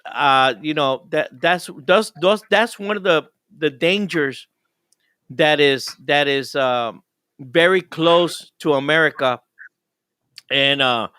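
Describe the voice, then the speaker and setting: Bright, halting male radio host. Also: on the high side, clean tone, no room sound, studio quality. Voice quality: halting, many restarts and repeated words. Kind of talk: casual interview.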